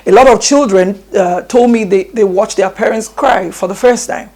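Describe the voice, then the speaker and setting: A woman's voice: mid-range voice, moderate pace, darkish tone. A woman with a Nigerian accent, answering interview questions in an earnest, emphatic way and often repeating her phrases. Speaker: education guest.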